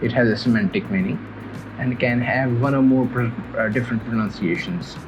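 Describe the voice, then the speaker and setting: Man from Pakistan, native Urdu and Punjabi, mid-pitched, earnest lesson host; dull audio with clear music underneath. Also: moderate pace. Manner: earnest, repeats himself, restarts phrases. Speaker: language learner teaching beginners. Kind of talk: casual video lesson.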